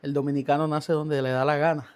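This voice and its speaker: Halting man telling his story. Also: fairly high pitch, little pitch movement, smooth. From Cuba. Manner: halting, many restarts and fillers. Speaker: guest. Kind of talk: testimony in a podcast interview.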